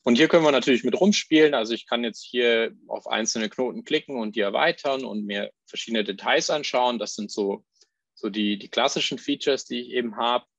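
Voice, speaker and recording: A man presenting a live software demo, explaining each step as he clicks through it, with fillers and restarts. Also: medium pitch, a little fast, no room sound, dull audio.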